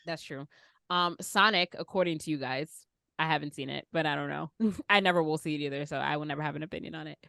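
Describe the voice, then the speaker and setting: A woman's voice: low-pitched, fast talker, creaky, sharp tone, no room sound, studio quality. A woman hosting a casual panel chat, speaking informally and asking plenty of questions. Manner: conversational, informal, inquisitive. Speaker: podcast host.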